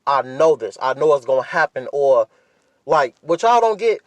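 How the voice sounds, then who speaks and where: Higher-voiced American man, casual and profane. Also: very animated, moderate pace, no echo. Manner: laid-back, rambling, profane. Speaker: podcast host. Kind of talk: casual solo podcast chat.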